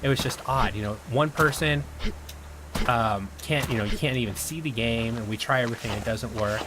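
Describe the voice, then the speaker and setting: A man giving rambling, chatty gameplay commentary to his viewers, his voice worn and going hoarse. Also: mid-range voice, a little fast, background noise. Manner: rambling, chatty, talks to viewers while playing. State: losing his voice. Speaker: gaming video host.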